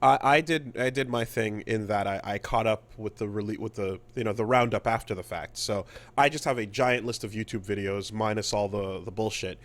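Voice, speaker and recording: A man's voice: fairly low pitch, fairly quick, few pauses, a bit bright. A man co-hosting a podcast, chatting and commenting informally with plenty of swearing. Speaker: podcast co-host. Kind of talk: casual podcast chat, commentary.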